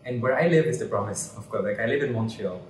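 An earnest, animated young man from Cuba tells his story as an interview guest. He sounds a little distant from the mic in a roomy indoor space, with a faint background hum. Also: on the low side, fast talker. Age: 20s. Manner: earnest and animated, gestures while talking. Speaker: interview guest, pageant candidate. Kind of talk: casual interview chat. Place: bright indoor room.

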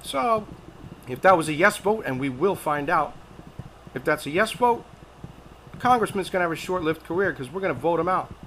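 A man's voice: on the high side, lively intonation, normal speed, long pauses, a bit bright, a little hiss or hum, rough recording. An American man speaking insistently as an activist caller making a political phone complaint, with some hesitant phrasing.

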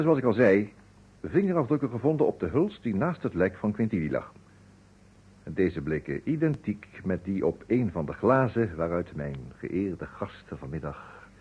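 A shrewd detective in a vintage radio drama. His husky, low voice is measured and commanding, with lively swings of intonation. The old recording sounds dull and narrow. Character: shrewd male amateur detective laying out a murder case. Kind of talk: old Dutch radio play acting.

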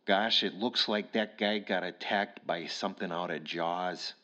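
A man playing a gossipy, blunt male police sergeant with a thick Minnesotan accent, talking low and folksy on the phone. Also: fairly even intonation, unhurried, dull audio. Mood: confiding. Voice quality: hushed, folksy, drawling. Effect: heard over the phone.

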